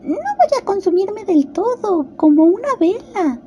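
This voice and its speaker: A very high, soft-toned little girl from a fairy tale, acted out by a woman. She is anxious and curious, reasoning earnestly with herself, with faint noise behind her. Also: very animated, a little slow, dull audio, exaggerated voice. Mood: worried. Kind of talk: storybook character acting in an audio drama.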